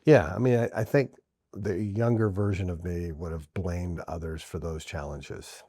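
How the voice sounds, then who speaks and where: A man's voice: low-pitched, steady tone, normal speed, mellow, slightly rough, no echo, studio quality. A middle-aged man from New Orleans, a podcast guest, talking earnestly and reflectively in a casual interview, often restarting his sentences.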